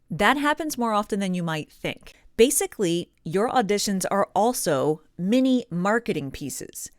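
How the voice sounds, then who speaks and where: A woman's voice: medium pitch, dry recording, clean studio sound. A woman giving encouraging, explanatory advice straight to viewers as a video host, stressing her key points. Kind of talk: direct-to-viewer coaching talk. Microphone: close studio condenser microphone.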